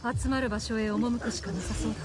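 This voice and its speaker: A woman's voice: fairly high pitch, racing, natural voice. An actress playing a curt, commanding female fighter in a fantasy video game. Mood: serious, resolute. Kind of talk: Japanese video game voice acting. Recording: game audio under loud music.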